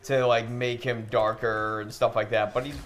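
A man's voice: medium pitch, little pitch movement, normal speed, soft background music. A man co-hosting a casual podcast chat, giving blunt, dismissive opinions and swearing freely while playing a game. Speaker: podcast co-host.

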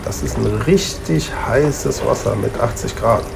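A friendly talking bottle played by a man with a mid-pitched male voice explains things patiently and reassuringly. It sounds like a children's radio play, with clear background noise around him. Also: measured pace. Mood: patiently explaining.

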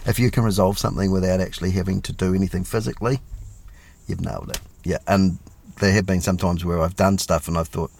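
A man's voice: low-pitched, steady tone, fast, gravelly, a little mellow, slight background noise. A middle-aged man, a police officer chatting casually and self-deprecatingly as an interview guest.

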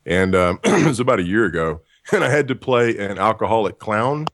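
A man who works as a voice actor, with a deep voice and a dry, self-deprecating sense of humor, answering interview questions. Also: very animated, brisk, a bit bright, slight background noise.

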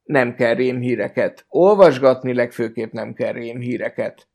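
A man's voice: medium pitch, darkish tone. A man talking casually as a panelist on a football podcast.

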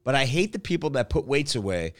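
Lively, joking male podcast host. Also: medium pitch, quick pace, no room sound, clean studio sound. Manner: animated and joking. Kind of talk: casual podcast chat, reading listener messages.

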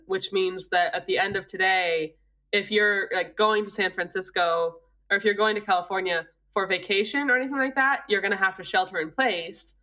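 An Australian woman co-hosting a casual chat, congested from an illness, telling stories in an animated way and laughing often. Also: mid-range voice. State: congested, with a cough. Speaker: podcast co-host.

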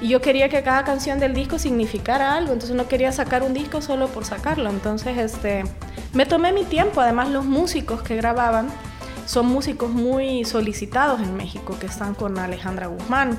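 A talkative Salvadoran woman in her forties, a singer-songwriter guest recounting things in a relaxed, laughing way. Her voice sits a little high for a woman, and music plays under the talk. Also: a little fast. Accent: Salvadoran Spanish. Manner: chatty, laughs often, restarts words. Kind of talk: casual interview chat.

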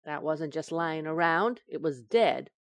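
A woman playing a teasing, quick-witted housewife in an old-time radio sitcom, with wry comic timing. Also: low voice, lively intonation, dry recording. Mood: bright, teasing. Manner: wry, sharp comic retorts.

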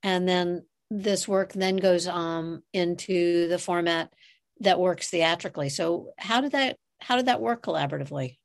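A woman's voice: fairly low pitch, normal speed, vocal fry, no room sound. Woman interviewer, close dry sound. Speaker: podcast host, interviewer. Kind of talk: conversational interview.

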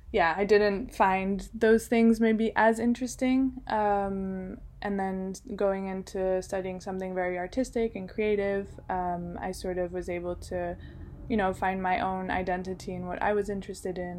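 A young woman raised in Switzerland talks through her answers in a mellow, warm voice. Her pitch stays fairly flat, and she pauses often with fillers and restarts her sentences as she works out what she wants to say. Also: a little hiss or hum. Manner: hesitant, many fillers and restarts. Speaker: guest, designer. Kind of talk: casual interview answers.

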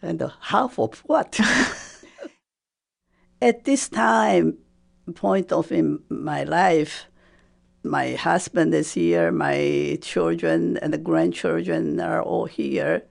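Slow, gravelly older woman. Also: deep voice. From Taiwan. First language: Japanese. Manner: hesitant, many pauses and fillers. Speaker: oral history interviewee. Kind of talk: personal reminiscence.

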